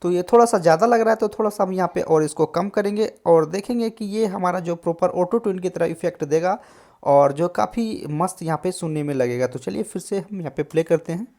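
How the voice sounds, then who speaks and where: A man hosting a casual tutorial on music software, explaining step by step and often adding short check-in tags. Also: high voice, fairly quick, creaky. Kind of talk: casual screen-recorded tutorial.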